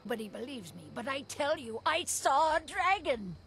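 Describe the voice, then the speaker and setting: A woman's voice: sits high, sing-song, normal speed, fairly bright tone, a little hiss or hum, exaggerated voice. An actress playing an agitated, insistent village woman in a fantasy video game. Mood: alarmed, insistent. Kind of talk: scripted video game acting.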